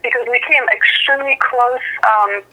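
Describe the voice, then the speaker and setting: A woman in her mid-thirties, originally from Poland, answers questions in an interview. Her voice is bright and sits a little high. She thinks aloud and fills her pauses with soft "um"s. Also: fairly even intonation, low-quality recording. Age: 30s. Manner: thoughtful, with frequent "um" pauses. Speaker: professional boxer and guest.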